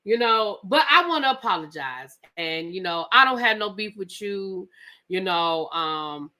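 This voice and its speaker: A woman hosting a live TV recap, telling the story casually and acting out the quotes, with some swearing. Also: medium pitch. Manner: animated storytelling, acts out quotes; profane, conversational. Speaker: TV show reviewer.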